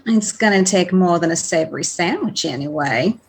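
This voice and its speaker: A woman's voice: fairly low pitch, lively intonation, normal speed, breathy edge. Woman acting a dry, bantering prison guard. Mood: wary, bantering. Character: wry, savvy female prison guard. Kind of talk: radio play dialogue.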